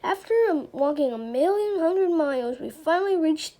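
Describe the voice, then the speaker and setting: Girlish high female voice reading a kids book out loud, soft mellow tone, trips on words n rereads. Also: animated, moderate pace. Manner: stumbles over words and rereads phrases. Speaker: read-aloud reader. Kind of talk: children's chapter book read-aloud.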